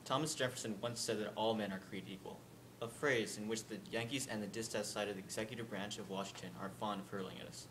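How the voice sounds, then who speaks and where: A man's voice: steady tone, quick pace, few pauses, close to an everyday voice. A man reading aloud as a 1930s Southern lawyer giving a formal, oratorical address to a jury. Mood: earnest. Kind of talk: dramatic reading. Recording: rumbling background noise.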